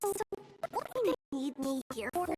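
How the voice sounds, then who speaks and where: A woman voicing a tiny, excitable, grumbly fairy companion in a video game, with a squeaky childlike voice. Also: moderate pace. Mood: puzzled. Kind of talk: cartoonish video game voice acting. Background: game music.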